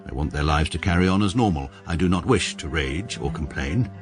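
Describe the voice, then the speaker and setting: A dry, pompous barrister. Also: male voice, very deep voice, lively intonation, music in the background. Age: middle-aged. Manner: dry, measured, sarcastic. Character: short, pompous, detached middle-aged male barrister. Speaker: barrister narrating his own story. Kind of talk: radio comedy drama narration.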